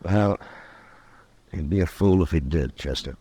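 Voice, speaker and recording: An American actor playing a stern, weary, authoritative frontier marshal in an old-time radio western, speaking in a terse, commanding, dry way. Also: male voice, sits low, measured pace, dark tone, slightly rough. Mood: measured. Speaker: actor playing the lead lawman and narrator.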